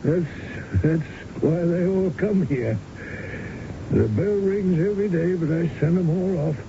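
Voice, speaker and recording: A genial, sardonic old man from a vintage radio drama, with a fairly high voice and a hesitant, pompous delivery full of throat-clearing. The recording is muffled, with clear background noise. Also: measured pace. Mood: proud, dismissive. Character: ailing old American art-collecting husband, genial yet sardonic. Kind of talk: 1970s radio drama acting.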